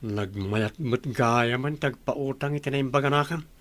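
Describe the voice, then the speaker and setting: An actor playing a sceptical but sympathetic confidant in a radio drama. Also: male voice, medium pitch, a little slow, a bit bright, close to an everyday voice.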